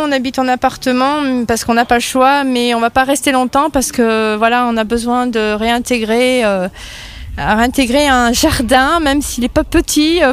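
A woman's voice: sits high, clean tone. A woman in her fifties, interviewed on local radio, talking eagerly and rambling with many fillers. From France. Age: 50s. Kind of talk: casual interview.

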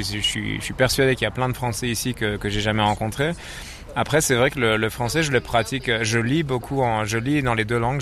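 A man's voice: medium pitch, a bit bright. Frenchman chatting casually about himself. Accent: French from France. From France. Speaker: interview guest, office professional working from home. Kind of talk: casual conversational interview answers.

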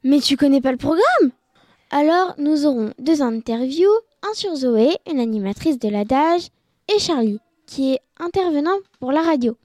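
A young co-host on a children's radio show, cheeky and teasing, reading her lines. Her voice sits very high. The sound is dry and close. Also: lively intonation, normal speed, darkish tone, no echo. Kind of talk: reading a script, then interviewing.

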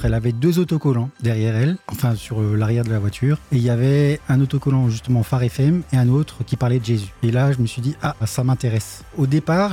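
A man gives a short recorded testimonial with a mellow, dark voice, telling his story calmly. Faint music plays under him. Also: mid-range voice. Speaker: listener testimonial.

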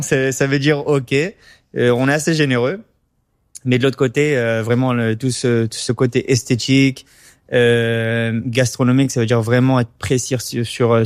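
Guy in his 30s from paris, chef being interviewed, clear smooth voice, halting and searching for words. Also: medium pitch, no room sound, studio quality. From born in Paris, moved to Israel at twelve. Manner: hesitant, halting, repeats words while searching. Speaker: chef and restaurant owner. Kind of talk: spontaneous interview answers.

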